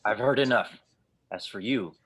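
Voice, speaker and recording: A man's voice: on the low side, normal speed, a bit bright, slight background noise, close to an everyday voice. A man playing an angry, terse cyborg fighter in an audio drama table read. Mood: bitter rage. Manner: terse, bitter.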